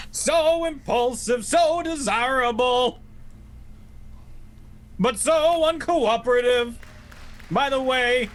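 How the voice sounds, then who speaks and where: Silky condescending old-radio villain, really high voice, takes his time, fake polite. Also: fairly bright tone, slight background noise, exaggerated voice. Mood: mock courteous. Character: scheming, mock-courteous mad villain leader of warring vegetables. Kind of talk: 1940s radio play acting.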